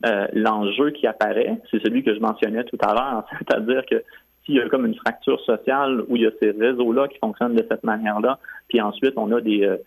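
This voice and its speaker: A man with a Quebec French accent giving measured, step-by-step explanations as a guest in a radio interview. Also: fairly low pitch, steady tone, gravelly, husky, no echo, low-quality recording. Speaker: guest, French-language commissioner.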